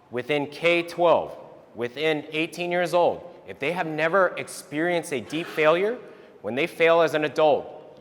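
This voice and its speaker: A man whose first language is Vietnamese, giving an animated motivational talk to parents and often ending points with a quick "right?". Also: fairly high pitch. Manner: animated, tags statements with "right?". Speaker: speaker giving a talk on resilience. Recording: long hall reverb.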